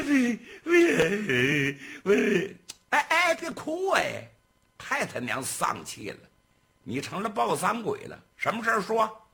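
A man voicing a bullying middle-aged male gang boss in a traditional storytelling piece, talking in a loud, scornful bark. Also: on the high side, creaky, voice pushed up, heavily acted.

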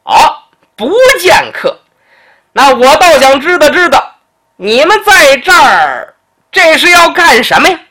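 A storyteller voicing a brash noble man, the emperor's brother, who talks loudly and bossily in drawn-out questions. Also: very high-pitched, sing-song, pitched above the performer's own voice, full character voice. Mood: haughty, bossy. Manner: loud, bossy, drawn-out questions.

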